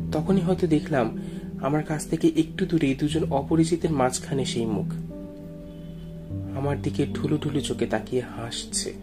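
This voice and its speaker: A man narrating a first-person ghost story in a brooding, reflective, measured way. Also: a little high.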